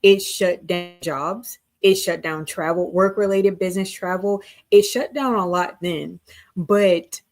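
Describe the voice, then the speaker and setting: A woman's voice: fairly low pitch, fairly even intonation, unhurried, soft background music. A woman co-hosting a casual live-stream discussion, explaining earnestly and checking her notes as she goes. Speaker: co-host.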